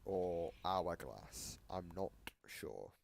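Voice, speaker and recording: A man's voice: low-pitched, sing-song, extremely slow, vocal fry. A man giving casual, explanatory commentary in a reaction video, often hedging as he interprets things. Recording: noisy home mic with clicks.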